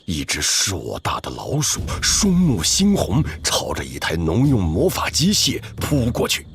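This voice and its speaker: Deep-voiced dramatic male story narrator. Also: huge pitch swings, a little slow, a bit bright, a little hiss or hum.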